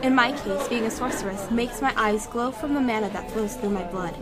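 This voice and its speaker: A woman's voice: fairly high pitch, fairly quick, close to an everyday voice. A woman playing a proud, composed non-human sorceress in a fantasy audio drama, explaining things in a matter-of-fact, lofty way. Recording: music and crowd chatter behind.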